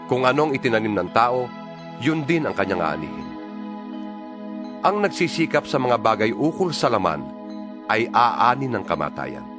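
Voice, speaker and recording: Man acting an earnest, expressive Filipino apostle, music underneath. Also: mid-range voice, natural voice. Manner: solemn, emphatic, preacherly delivery. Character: earnest male apostle exhorting his fellow believers by letter. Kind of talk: dramatized scripture reading in a Bible audio drama. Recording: music bed behind the voice.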